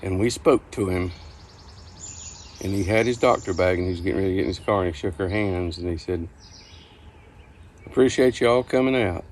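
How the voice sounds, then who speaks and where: An older man reminiscing casually, telling stories in a folksy, unhurried, halting way. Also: low-pitched, darkish tone, a little hiss or hum. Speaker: storyteller. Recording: outdoor ambience with birdsong behind. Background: birdsong, outdoor ambience.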